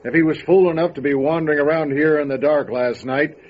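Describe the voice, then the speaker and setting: A man playing a gruff, stubborn, guarded mountain recluse in an old-time radio drama. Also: fairly high pitch, fairly even intonation, brisk, close to an everyday voice. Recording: dull lo-fi radio drama recording, faint noise.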